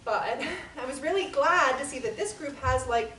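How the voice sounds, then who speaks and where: A woman plays an anxious young woman in group therapy, her voice slightly high. She rambles and hesitates, as if checking herself mid-sentence. The recording sounds distant and roomy. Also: expressive, moderate pace, bright, forceful tone, slight background noise. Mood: uneasy. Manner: hesitant, rambling, self-conscious. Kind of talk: table reading of a stage play. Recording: echoey room from a distant mic.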